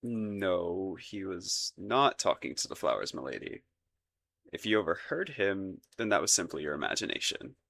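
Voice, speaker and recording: A man's voice: sits low, very animated, normal speed, vocal fry, a bit bright, heavily acted. A man acting a shy, lovestruck young crown prince in a romantic roleplay audio, hesitant and stammering, with formal courtly phrasing. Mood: coyly defensive.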